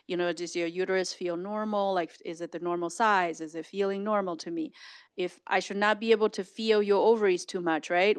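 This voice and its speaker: A woman's voice: medium pitch, clean tone, no echo. A woman physician answering audience questions at a health talk, explaining plainly and casually and checking in with "right?". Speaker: physician and speaker.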